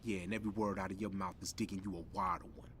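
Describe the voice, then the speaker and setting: Game street rival: American, low voice, dry sarcastic needling, faint rumble behind. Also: male voice, steady tone, very fast, a bit bright. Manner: sarcastic, unfazed. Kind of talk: video game cutscene acting.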